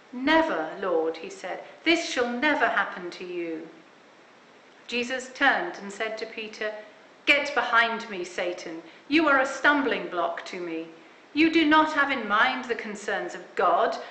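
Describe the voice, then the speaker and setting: A woman vicar giving a slow, measured reading of scripture and a sermon with clear emphasis. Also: on the high side, bright, forceful tone, reverberant, slight background noise, low-quality recording. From Wales.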